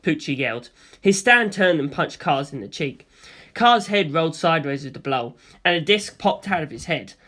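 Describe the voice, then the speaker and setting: An audiobook narrator with a mid-pitched voice that moves up and down in a lively way. The delivery is expressive and theatrical, telling a story in the first person. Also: normal speed.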